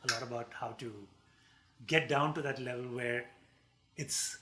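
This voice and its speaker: A man's voice: medium pitch, steady tone, normal speed. A man co-hosting a livestream who asks long, winding questions full of fillers. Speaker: co-host. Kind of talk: conversational livestream interview.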